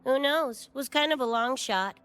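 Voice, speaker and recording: A woman's voice: high voice, moderate pace, a bit bright, smooth, soft background music. A woman playing a brash, scrappy young cyberpunk gang member in a game, with cocky, slangy talk and a wistful side. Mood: flippant, bitter. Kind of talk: animated game character dialogue.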